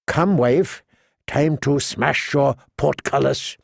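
A man's voice: mid-range voice, takes it slowly, creaky, no echo, full character voice. A man voicing a witty, bitter adult dwarf lord who speaks in a dry, mocking way.

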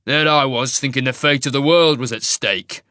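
A man voicing a fire-scarred, surly male warrior with a rasping growl, in an audiobook reading. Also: medium pitch, bright, forceful tone, dry recording, studio quality. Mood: snarling. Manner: slow, snarling, coarse. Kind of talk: audiobook character voice.

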